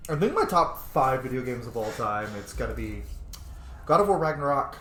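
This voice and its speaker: Guy streaming a game, casual rambling and joking, mid pitch, roomy mic sound. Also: very animated, moderate pace, fairly bright tone. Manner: playful, swearing, rambling asides. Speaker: let's play streamer. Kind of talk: casual gameplay commentary. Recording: roomy echo, faint background noise.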